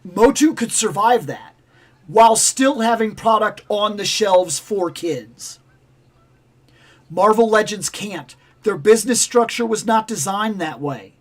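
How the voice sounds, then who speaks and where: A man hosting a toy livestream in casual, rambling chat, with a voice going raspy and hoarse. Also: high voice, measured pace. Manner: rambling, animated, self-interrupting. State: raspy, losing his voice. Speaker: toy channel livestream host.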